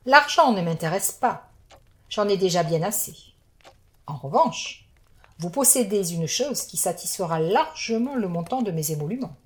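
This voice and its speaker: A haughty sorceress who speaks with cool, amused disdain, played by a woman. Her voice sits at an ordinary middle pitch. Each line is measured and unhurried. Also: lively intonation, natural voice. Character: cold, mocking female sorceress.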